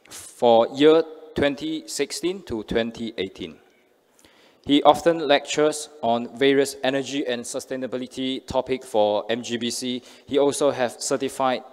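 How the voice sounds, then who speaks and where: A man with a Malaysian accent acts as master of ceremonies, reading formally from a script. His voice sits at a medium pitch. It carries through a podium microphone into a hall with a long reverb. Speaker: master of ceremonies introducing a speaker. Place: indoor hotel event hall. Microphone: podium gooseneck microphone.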